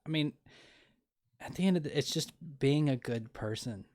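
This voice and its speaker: A man's voice: medium pitch, animated, moderate pace, a little mellow. A man hosting a casual interview show, talking earnestly with many restarts and fillers. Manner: earnest, opinionated. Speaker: podcast host.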